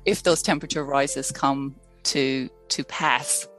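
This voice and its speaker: A woman's voice: a bass voice, fairly even intonation, takes it slowly, fairly bright tone, faint music behind, rough recording. A woman with an Irish accent, from rural Ireland, a scientist answering interview questions with many ums and restarts. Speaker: scientist guest.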